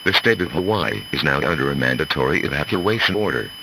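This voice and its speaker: A man's voice: rumbling low, sing-song, fast, full character voice. A man playing a grave government announcer, reading a formal, stern emergency message over a noisy radio-style broadcast.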